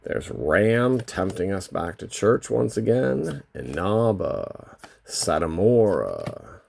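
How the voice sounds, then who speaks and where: A man with a voice worn hoarse by a cold, hosting a casual, unscripted card box opening and rambling as he thinks aloud. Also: deep voice, big pitch swings, takes it slowly, a little mellow. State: losing his voice from a lingering cold. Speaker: host of a trading card box-break channel.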